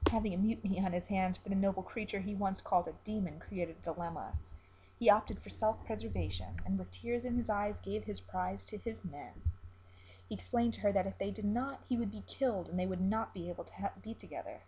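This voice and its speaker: A woman storyteller reading a dark, dramatic tale as scripted narration. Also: medium pitch, fairly quick, a little hiss or hum, low-quality recording.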